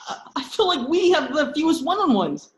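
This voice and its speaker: A woman's voice: high voice, very animated, fairly quick, roomy sound. A woman playing a good-natured, hesitant young college guy in a comedy table read. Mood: defensive. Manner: hesitant, puzzled, earnest.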